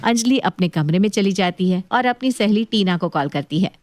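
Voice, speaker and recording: A woman's voice: mid-range voice, expressive, moderate pace, darkish tone, no echo. A woman narrating a scripted domestic tale as storyteller.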